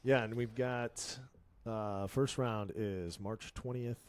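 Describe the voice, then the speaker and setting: A man in his forties co-hosting a casual podcast, relaxed, often chiming in with short agreeing replies. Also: fairly low pitch, slow, smooth, no echo. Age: 40s. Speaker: podcast co-host. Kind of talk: casual chat.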